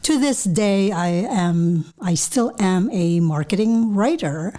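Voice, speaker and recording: A woman's voice: medium pitch, animated, takes it slowly, dark tone. A middle-aged woman from the Philippines with a Filipino accent, answering interview questions as a guest author.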